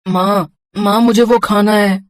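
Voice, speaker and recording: A woman's voice: mid-range voice, steady tone, unhurried, slight background noise, close to an everyday voice. A woman voicing an eager, innocent little village boy with childlike pleading in a cartoon story.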